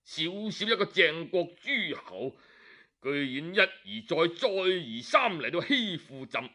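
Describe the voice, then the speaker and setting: A man's voice: sits high, big pitch swings, a little slow, pushed and bright, full character voice. A man voicing a proud, petty and vengeful king who speaks in imperious anger, in an audiobook tale. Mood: furious, imperious. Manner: imperious, teeth-gritted anger.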